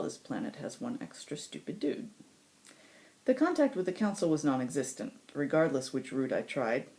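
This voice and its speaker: A sardonic, crude supernatural hitman as played by a woman tells his own story in a very low, soft-edged voice. The delivery swings widely in pitch, full of sarcastic asides and dramatic pauses, like a cynical audiobook narrator. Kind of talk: first-person audiobook-style story reading.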